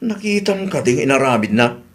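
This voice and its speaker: A man's voice: mid-range voice, sing-song, brisk, natural voice. A man playing a grumbling, reluctant village husband in a comedy radio drama.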